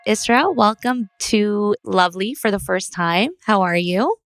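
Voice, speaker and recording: A woman's voice: mid-range voice, animated, a little slow, music in the background. A woman hosting a podcast interview, asking conversational questions in a friendly manner. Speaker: podcast host.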